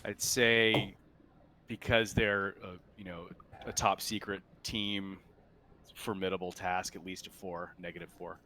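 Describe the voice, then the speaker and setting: A man with a slightly deep voice runs a tabletop role-playing game. He narrates off the cuff and gives casual rulings, halting as he thinks aloud. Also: little pitch movement, bright, forceful tone, a little hiss or hum.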